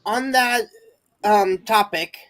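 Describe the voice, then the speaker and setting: A woman's voice: medium pitch, slow pace, fairly bright tone, low-quality recording. A woman hosts a podcast interview and puts her questions to the guest directly. She sounds curious and keeps her questions short. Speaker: podcast host.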